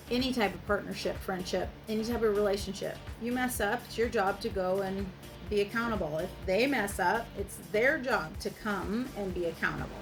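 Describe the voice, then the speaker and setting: A woman tarot reader speaking directly to the listener in a casual, reassuring advice monologue, swearing freely. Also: medium pitch.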